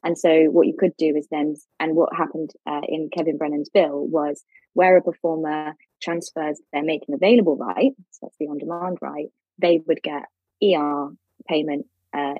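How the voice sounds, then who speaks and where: A woman's voice: sits low, steady tone, moderate pace, husky, no echo, muffled recording. A woman speaking as an expert guest, explaining legal points in an informal, plain-spoken way. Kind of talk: explaining law in a casual discussion.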